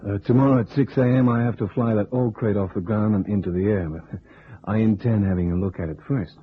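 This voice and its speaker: American pilot hero, low rough voice, laconic, wry, vintage radio drama, thin narrow-band sound. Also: male voice, fairly even intonation, brisk. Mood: teasing, flirtatious. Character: wisecracking, cool American test pilot and adventurer. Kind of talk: old-time radio detective drama acting. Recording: muffled, narrow old radio recording.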